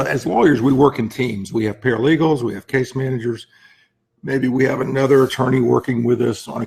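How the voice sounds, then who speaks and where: A man with a hoarse, laryngitic voice full of gravelly creak speaks at a slightly varying, medium pitch. He explains things informally to viewers in the manner of a lawyer giving advice. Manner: frequent throat clearing. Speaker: lawyer hosting a firm's advice segment. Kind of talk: informal explanatory talk to camera.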